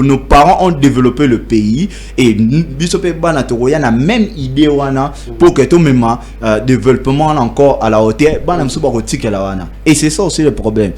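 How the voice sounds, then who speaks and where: A young man with a Congolese accent, speaking haltingly in a debate and switching between French and Lingala. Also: mid-range voice, darkish tone. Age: young adult. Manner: halting, many restarts, mixes French with Lingala. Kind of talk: hesitant debate talk.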